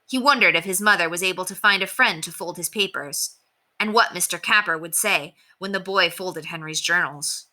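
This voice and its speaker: A woman's voice: lowish voice, sharp tone. A woman reading a children's chapter book aloud as a storyteller, in scripted narration.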